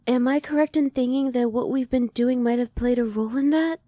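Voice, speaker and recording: A woman voicing a curious, emotionally reserved alien scientist in an ASMR roleplay, speaking softly in measured, formal phrases. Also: on the high side, fairly even intonation, no room sound, studio quality, close to an everyday voice. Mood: curious, awkward. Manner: soft, measured, formal, restrained. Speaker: voice actor.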